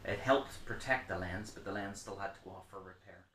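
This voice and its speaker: A man's voice: sits low, fairly quick, fairly bright tone, a little hiss or hum. A middle-aged man in a casual vlog, rambling and chatty with frequent ums as he shows his camera bags. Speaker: vlogger reviewing camera bags. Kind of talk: casual show-and-tell. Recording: echoey room.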